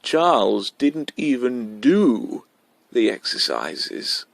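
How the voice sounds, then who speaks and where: A man voicing a cheeky, boastful kindergarten boy, loud and excitable, as a storybook character. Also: mid-range voice, slow pace, vocal fry, no echo, heavily acted. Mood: scornful, showing off. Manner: insolent, excitable.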